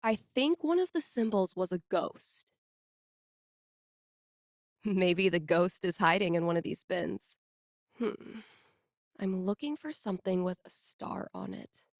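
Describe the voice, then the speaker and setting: A woman's voice: mid-range voice, lively intonation, takes it slowly, vocal fry, long pauses, no room sound, clean studio sound. A woman playing a snarky, easygoing young record-store geek in a video game, talking in casual, slangy, sardonic lines. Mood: quiet musing. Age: young adult.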